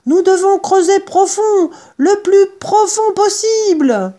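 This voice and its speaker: A woman voicing a bold, excitable father fox in a storybook, exclaiming and commanding. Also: a little high, normal speed, lightly acted. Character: bold, excitable father fox, urgent leader of his family.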